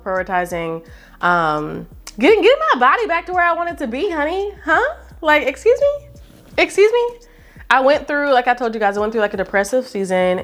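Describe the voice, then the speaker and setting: Woman, late 20s, YouTuber. Chatty, animated talk to camera with frequent asides. Mid-range pitch. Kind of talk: casual chat to camera.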